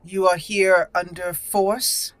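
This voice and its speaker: A woman's voice: fairly low pitch, fairly even intonation, takes it slowly. A woman roleplaying a proud exiled elf mage in a game, speaking in formal, stiff wording. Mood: curious, wary.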